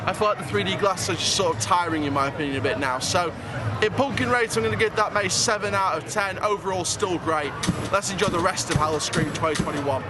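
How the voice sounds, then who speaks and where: A man's voice: high-pitched, quick pace. A man, a theme park vlogger giving an upbeat, chatty review with fillers and restarts at an outdoor night event. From northern England. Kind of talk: enthusiastic vlog review and sign-off. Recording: loud music and crowd noise outdoors. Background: loud music and crowd.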